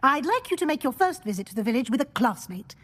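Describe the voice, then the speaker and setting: Game role: brisk witch professor, fairly high voice, formal and authoritative. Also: big pitch swings, a bit bright, heavily acted. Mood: brisk, stern. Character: stern, brisk older female wizard-school professor. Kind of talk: video game acting.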